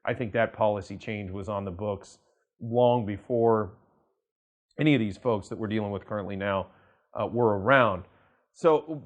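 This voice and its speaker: An American man, an attorney, presenting news commentary in a measured, emphatic manner, stressing phrases deliberately and drawing some out. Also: medium pitch. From United States. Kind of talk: news commentary to camera, quoting articles.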